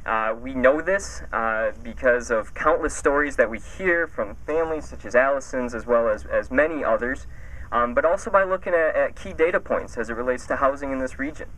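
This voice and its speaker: A man, a nonprofit director, giving informative public remarks outdoors, measured and emphatic on figures. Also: medium pitch, a bit bright, poor audio. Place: outdoors at a house build site.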